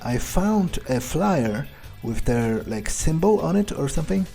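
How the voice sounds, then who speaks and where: Slow-talking man musing in character. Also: on the high side, big pitch swings, creaky, mellow, faint music behind. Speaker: let's player role-playing a vampire character. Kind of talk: in-character gameplay commentary, thinking aloud.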